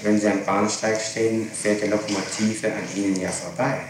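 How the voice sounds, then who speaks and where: A man with a fairly low voice conducts a formal, insistent courtroom interrogation. He works methodically through his questions. The archival tape is heavily reverberant and clearly noisy, with him far from the microphone. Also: flat delivery, normal speed. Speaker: public prosecutor. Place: large echoing room, distant mic.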